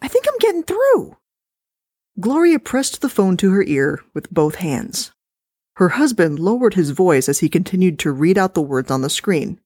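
Lively woman reading a horror story. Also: on the low side, moderate pace, no room sound, clean studio sound. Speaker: podcast host and story reader.

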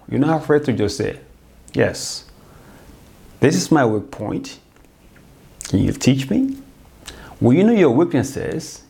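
A man's voice: mid-range voice, gravelly, warm tone. A man hosting his own podcast, giving an earnest, explanatory solo talk straight to his listeners. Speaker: podcast host.

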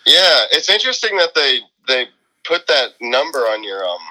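A young man joins a casual podcast as a guest. His voice is very bright and sharp, and his speech is easygoing and halting, with frequent laughs. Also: medium pitch, sing-song, low-quality recording. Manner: easygoing, hesitant, laughs often. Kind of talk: casual chat.

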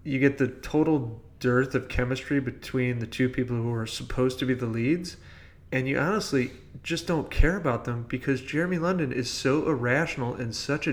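A man co-hosting a podcast, casually discussing films in an opinionated, emphatic way. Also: medium pitch. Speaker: podcast co-host.

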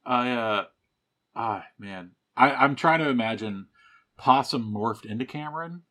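A man's voice: medium pitch, lively intonation, measured pace, sharp tone. A man in casual chat with friends about their tabletop game, joking and rambling with lots of laughter. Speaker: podcast cast member.

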